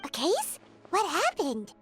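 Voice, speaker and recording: A woman voicing a tiny, excitable flying fairy girl in a video game, squeaky and childlike with chirpy exclamations. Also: slow pace, faint music behind, full character voice. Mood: curious, surprised. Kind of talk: video game character dialogue.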